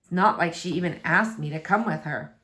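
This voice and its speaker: A woman reading the part of a sullen, heartbroken adolescent boy in terse, low-key replies. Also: a little fast, pushed and bright. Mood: glum.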